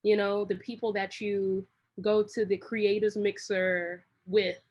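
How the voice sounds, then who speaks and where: A woman chats as a guest in a casual interview. She has a slightly husky voice at a middling pitch. Her talk rambles and is full of slang, circling at length before landing. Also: flat delivery, unhurried, muffled recording. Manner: long-winded, rambling, slangy. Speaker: interview guest, creative director.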